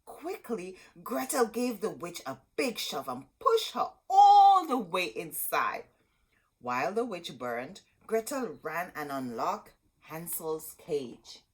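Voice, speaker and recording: A woman reading a children's story aloud as host, expressive and dramatic, drawing out words for emphasis. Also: fairly low pitch, slow, husky.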